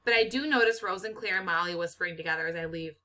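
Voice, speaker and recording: A woman's voice: on the low side, fast talker, sharp tone. A woman reading a children's novel aloud expressively, as a teacher does for students.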